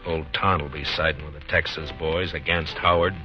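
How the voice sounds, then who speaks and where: An American actor playing a weary, principled town marshal in a radio western, gruff and plainspoken. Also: male voice, extremely low pitch, steady tone, normal speed, music in the background. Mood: weary, resigned. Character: weary, stern, principled frontier town marshal, adult man. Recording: muffled vintage 1950s radio recording.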